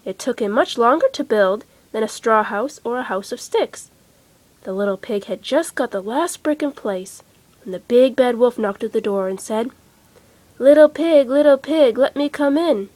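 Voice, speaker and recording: A woman narrating children's bedtime stories with expressive, dramatic and emphatic phrasing. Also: on the high side, normal speed, dry recording. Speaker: storyteller.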